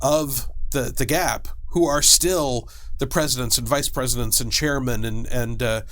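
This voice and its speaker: A man's voice: mid-range voice, unhurried, a bit bright. Man explaining game lore as guest. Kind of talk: casual explanatory interview talk.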